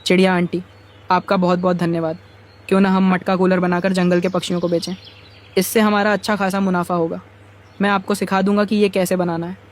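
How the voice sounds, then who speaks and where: Man acting as young boy crow, cartoon, high-pitched, even intonation, earnest, polite. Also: brisk, close to an everyday voice. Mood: earnest, grateful. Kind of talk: animated children's story acting.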